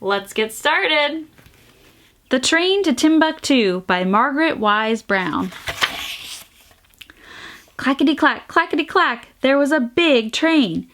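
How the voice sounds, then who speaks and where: A woman hosting a children's storytime, reading aloud in an animated, sing-song way with exaggerated emphasis and playful sound effects. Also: high voice, slow, pauses often. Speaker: storytime host reading a picture book aloud.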